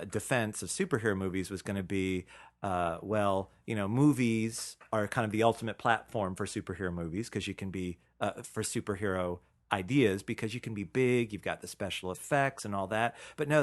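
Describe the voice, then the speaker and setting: A man co-hosting a podcast in casual conversation, chatty and halting as he tells things. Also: on the low side. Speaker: podcast co-host.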